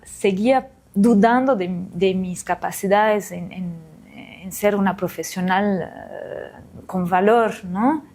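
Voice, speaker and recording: Slow, hesitant woman from France. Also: medium pitch, darkish tone. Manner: hesitant, many fillers and restarts. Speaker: interview guest, illustrator. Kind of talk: reflective conversational interview.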